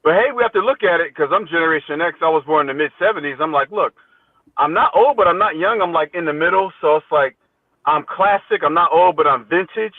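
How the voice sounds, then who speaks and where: Phone-like, slightly high-voiced man in his fifties. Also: fast talker, dry recording. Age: 50s. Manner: conversational, good-humored, repeats phrases. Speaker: podcast guest, teacher. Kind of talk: casual interview chat. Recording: thin phone-like connection.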